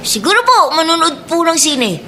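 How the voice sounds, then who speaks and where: Radio drama child role: reformed little Filipino street snatcher, very high voice, deferential, sincere, slight noise behind. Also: female voice, big pitch swings, normal speed, sharp tone, full character voice. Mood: busy, courteous. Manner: polite, deferential. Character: earnest, polite adopted schoolchild, reformed young street snatcher named Mark.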